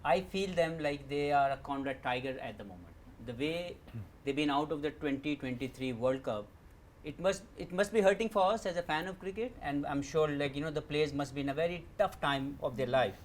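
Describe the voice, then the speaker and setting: A man's voice: on the high side, brisk. A man giving his opinions as a sports panel pundit. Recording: faint background noise.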